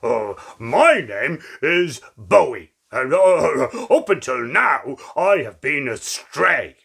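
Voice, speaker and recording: A man voicing a loyal, excitable former stray dog in a hesitant, earnest storybook reading. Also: mid-range voice, takes it slowly, gravelly, breathy edge, full character voice.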